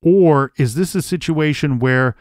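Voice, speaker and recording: A man's voice: a little high, measured pace, no echo, clean studio sound. A man hosting a true crime podcast, reading old case records aloud and then thinking through theories in casual talk. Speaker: podcast host.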